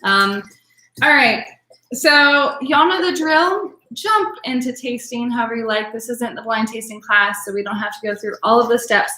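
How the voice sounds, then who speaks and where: A woman's voice: on the high side, normal speed, pushed and bright, roomy sound, poor audio. A woman teaching an online wine class in a chatty way, full of ums, calling on attendees by name. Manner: chatty, filler-heavy, addresses attendees by name.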